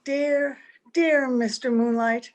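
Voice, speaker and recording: A woman's voice: high-pitched, fairly even intonation, takes it slowly. A woman playing an anxious, affectionate young-looking wife in an old-time radio play. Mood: tender, teasing. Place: home, over a video call.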